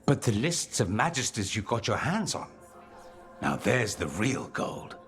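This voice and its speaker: An actor playing a suave, ambitious mage and rebel schemer in a fantasy video game, speaking with wry, theatrical eloquence. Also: male voice, lowish voice, big pitch swings, measured pace, a bit bright. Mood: wry, pleased. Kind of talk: dramatic video game dialogue acting.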